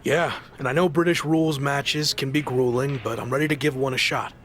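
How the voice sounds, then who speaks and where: Actor doing stubborn wrestler guy in a game cutscene, normal pitch, sarcastic and grudging, faint noise behind him. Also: steady tone, brisk, a bit bright, lightly acted. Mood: reluctant. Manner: grudging, sardonic. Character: reluctant, headstrong male pro wrestler, grudging and sarcastic. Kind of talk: video game documentary cutscene acting.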